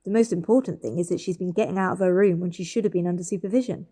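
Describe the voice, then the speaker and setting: A woman's voice: fairly low pitch, very fast, warm tone, lightly acted. A woman playing a protective, bossy older sister in a naturalistic audio drama, firm and insistent.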